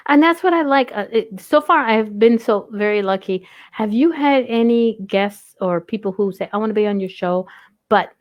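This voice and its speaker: A woman's voice: medium pitch, lively intonation, moderate pace, dry recording, muffled recording. Laughing, sassy woman host. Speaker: online radio show host. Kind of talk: casual chat.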